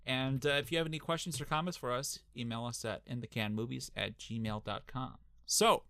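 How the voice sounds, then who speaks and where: Brief: young Midwestern male co-host, mid-pitch, stop-start delivery packed with "uh"s, dry close-miked sound. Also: steady tone, creaky, bright, forceful tone, dry recording. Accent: Midwestern American. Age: young adult. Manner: halting, many fillers and restarts. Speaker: podcast co-host. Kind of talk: casual movie podcast chat.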